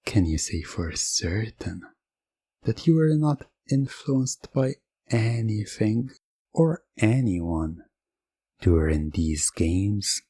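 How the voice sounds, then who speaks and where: A man doing hypnosis ASMR roleplay as a teasing, theatrical game show host, softly coaxing the listener into trance. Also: fairly low pitch, expressive, slightly rough, no echo. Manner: slow, teasing, theatrical showman; soft, coaxing hypnotic induction.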